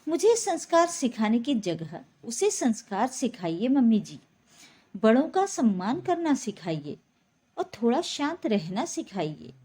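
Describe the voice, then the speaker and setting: Woman playing an indignant, animated young daughter-in-law. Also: a little high, unhurried, breathy edge, exaggerated voice. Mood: firm rebuke. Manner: firm, defiant.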